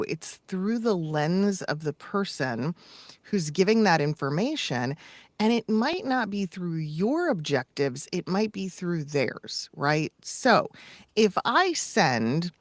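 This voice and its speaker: A woman with a slightly low voice speaks as a coach on a casual podcast. She explains emphatically and works through examples. The recording is dry, with almost no room sound. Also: no room sound. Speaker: podcast co-host and voice-over coach.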